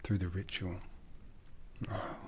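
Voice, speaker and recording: A man playing an ancient, brooding vampire lord in an ASMR roleplay, speaking in a breathy, hushed, solemn voice that slips into whispers. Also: deep voice, flat delivery, slight background noise. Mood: solemn, coaxing. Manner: deliberate, solemn, intimate. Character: ancient vampire lord, brooding, commanding, softly menacing, courtly. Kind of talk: ASMR roleplay, soft speech slipping into whispers.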